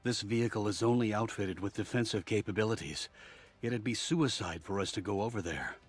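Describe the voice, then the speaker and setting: A man's voice: fairly low pitch, a little fast, husky, soft background music. An actor voicing an earnest, admiring but cautious man in a video game, talking like an articulate storyteller. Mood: cautious, firm. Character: earnest, admiring, cautious male fan of war heroes.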